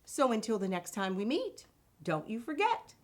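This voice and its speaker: A woman hosting a children's read-aloud show, speaking directly to young listeners in an expressive, deliberate, emphatic way. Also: on the high side.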